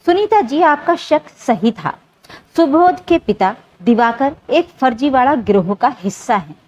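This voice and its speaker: A woman narrator voicing a steady, righteous male police inspector in a story, firm in manner. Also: sits high, unhurried. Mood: firm, reassuring. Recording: dull, lo-fi sound.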